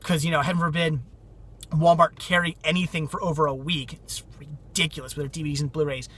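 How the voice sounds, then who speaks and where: A man's voice: a little high, flat delivery, fairly quick, a bit bright, breathy edge. A man casually showing off his thrift store finds in a rambling, enthusiastic way, repeating himself often, while losing his voice from a cold. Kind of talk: casual vlog chat.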